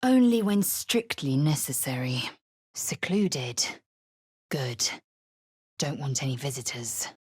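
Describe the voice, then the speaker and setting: An actress playing a fierce, imperious alien warrior woman in a video game, husky, clipped, commanding and disdainful. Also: extremely low pitch, big pitch swings, slow, long pauses, darkish tone, no room sound, clean studio sound, full character voice. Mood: curt, commanding. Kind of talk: video game character voice lines.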